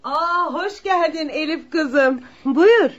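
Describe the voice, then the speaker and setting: A woman's voice: sits high, slow. An actress playing a fond, nagging older mother in a radio drama, coaxing and full of homely endearments. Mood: warm, hospitable. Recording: dull lo-fi radio recording.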